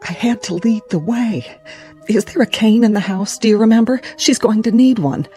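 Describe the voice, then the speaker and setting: A woman's voice: a little high, normal speed, mellow, close to an everyday voice. Folksy, anxious small-town mother, played by an actress over music. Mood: subdued concern. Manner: folksy, insistent, repeating reassurances. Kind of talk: dramatic radio acting.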